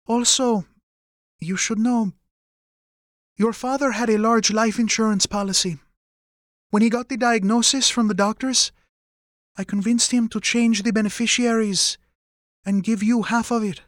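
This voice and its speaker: A man's voice: high voice, steady tone, a little slow, long pauses, a bit bright, no echo, studio quality, voice pushed up. A man voicing a middle-aged mother in an audiobook, anxious, regretful and tender, with careful, hesitant, formal phrasing. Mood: earnest, nervous. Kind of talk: audiobook character dialogue.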